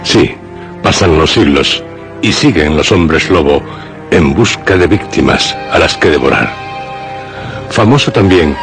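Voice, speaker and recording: A man reading scripted narration for a suspense radio programme. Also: low-pitched. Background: music.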